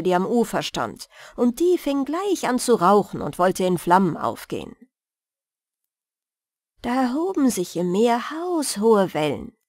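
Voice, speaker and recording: A woman narrating a bedtime fairy tale as an audiobook, careful and expressive. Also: mid-range voice, no echo, studio quality.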